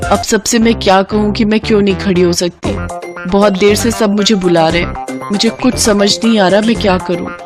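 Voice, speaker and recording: A woman's voice: medium pitch, fairly even intonation, normal speed, close to an everyday voice. Woman acting a haughty young rich daughter-in-law. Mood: distressed. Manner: indignant, disdainful, emphatic. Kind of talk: acted dialogue in a Hindi moral story cartoon. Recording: voice over prominent music.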